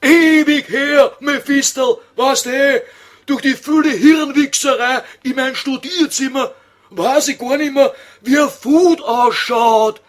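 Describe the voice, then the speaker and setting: A man's voice: extremely high pitch, steady tone, takes it slowly. A man voicing a grumpy old scholar in Viennese dialect for an audio comedy sketch, whining and impatient. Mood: whining, brooding.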